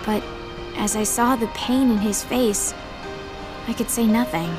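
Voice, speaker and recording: A woman plays a blunt young woman with a sarcastic, exasperated streak, acting and narrating in an audio drama. Her voice is fairly high, over faint music. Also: steady tone, a little slow, close to an everyday voice. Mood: reflective narration.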